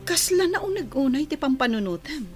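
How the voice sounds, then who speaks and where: A woman playing a steady, reassuring but insistent comrade in a secretive group, in an Ilocano radio drama. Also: high-pitched, brisk, music in the background.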